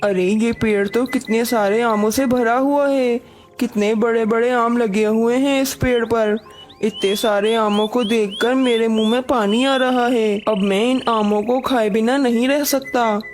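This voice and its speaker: A woman's voice: fairly high pitch, fairly even intonation, unhurried, darkish tone, slight background noise, close to an everyday voice. A woman voicing a friendly, simple male crocodile who sounds eager, in a cartoon story. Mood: craving, plaintive.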